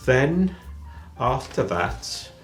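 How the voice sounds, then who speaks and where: A man planning a trip on camera, chatting in a halting way that restarts its sentences. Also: medium pitch, sing-song, soft background music. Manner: chatty, halting, self-correcting. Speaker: travel vlogger. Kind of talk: casual chat.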